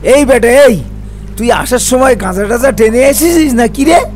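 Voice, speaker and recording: High-pitched, touchy village uncle played by a man. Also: lively intonation, moderate pace, darkish tone, soft background music. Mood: scolding. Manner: scolding, huffy. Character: touchy village uncle storyteller, bossy and quick-tempered. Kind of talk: animated story dialogue.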